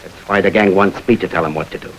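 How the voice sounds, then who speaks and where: A man plays a brash gangster with a deep, low voice, sneering and taunting in tough street slang. He is hungry for power, in vintage noir radio style. The old recording has a light layer of noise. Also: fairly even intonation, fast, natural voice, poor audio. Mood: defiant taunting. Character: brash ambitious male gangster challenging his boss, sneering. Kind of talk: 1940s film noir radio acting.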